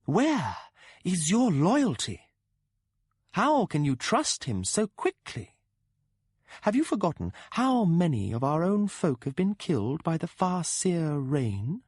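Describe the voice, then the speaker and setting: A man doing a high, cold-hearted ghost woman. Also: very animated, a little mellow, husky, no echo, clean studio sound, exaggerated voice. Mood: cold insistent. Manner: soothing yet ruthless, slow and deliberate. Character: possessive, coldly loving dead woman spirit.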